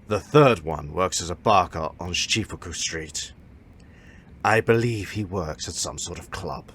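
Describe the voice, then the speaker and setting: A man playing a stern older martial arts master in an audio drama, measured and authoritative as he instructs. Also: deep voice, a bit bright, slight background noise. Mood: instructing.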